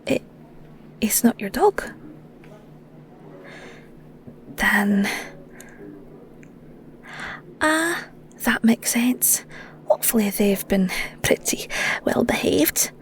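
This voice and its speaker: A woman with a Scottish accent playing a shy, flustered young werewolf shepherd in an intimate audio roleplay, stammering awkwardly in a soft, breathy voice. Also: fairly high pitch, lively intonation, slow pace, long pauses, in a whisper. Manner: stammering, giggly, awkward, hesitant.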